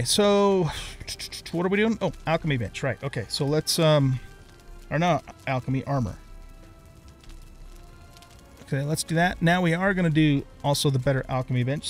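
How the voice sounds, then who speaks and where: A middle-aged man giving casual let's play commentary as a YouTube host, rambling and thinking aloud as he plays. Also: fairly high pitch.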